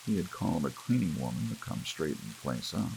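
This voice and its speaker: A man's voice: extremely low pitch, fairly quick, vocal fry, poor audio. A man reading scripted fiction as an ASMR audiobook narrator. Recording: steady rain and hiss behind. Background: soft rain.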